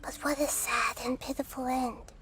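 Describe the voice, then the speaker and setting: Actress for a gentle girlish goddess, high bright voice, calm lecturer manner. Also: moderate pace, music in the background, natural voice. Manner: calm, explaining, kind. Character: gentle young-looking goddess, calm scholar. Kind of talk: video game dialogue.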